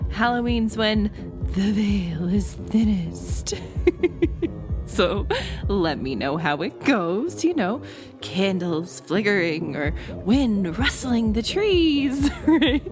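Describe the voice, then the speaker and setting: A woman acts out a friendly, giggly party host in a casual audio drama. Her voice is very animated in pitch but takes its time, joking informally with music playing clearly behind her. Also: takes it slowly.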